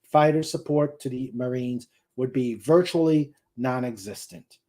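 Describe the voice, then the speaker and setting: A man narrating a science fiction war novel in a deliberate read-aloud delivery, with small stumbles here and there. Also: mid-range voice, dark tone. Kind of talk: dramatic reading of a sci-fi war novel.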